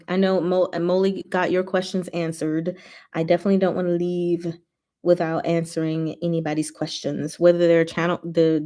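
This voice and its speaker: Slightly low-voiced woman chatting casually on livestream. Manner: animated, playful, slangy, talks to viewers directly. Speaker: livestream host and book reader. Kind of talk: casual livestream chat with viewers.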